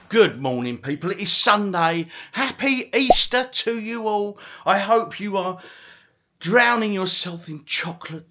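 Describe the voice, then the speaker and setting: A man's voice: fairly high pitch, sharp tone. A middle-aged man chatting casually to his vlog viewers, rambling along in British slang with chirpy greetings. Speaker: vlogger and retro gaming channel host.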